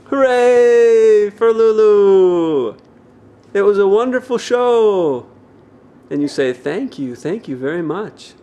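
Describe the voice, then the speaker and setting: Parent, mid-pitched voice, loud exaggerated cheering, playful prompting, home video. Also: lively intonation, takes it slowly. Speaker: parent as audience of a child's puppet show. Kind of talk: playful encouraging chat with a child.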